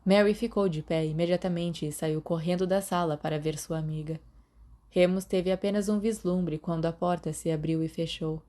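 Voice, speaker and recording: Woman narrator, brazilian accent, low pitch, dark mellow tone, steady pace. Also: normal speed. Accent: Brazilian Portuguese. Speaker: podfic reader. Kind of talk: scripted narration of fan fiction.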